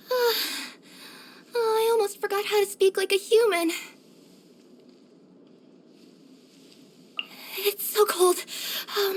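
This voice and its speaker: A woman voicing a cheerful, eager young female cat yokai courier in a video game, with an expressive, cutesy delivery and little hesitant murmurs. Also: very high voice. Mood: dismayed. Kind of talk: scripted video game character voice lines.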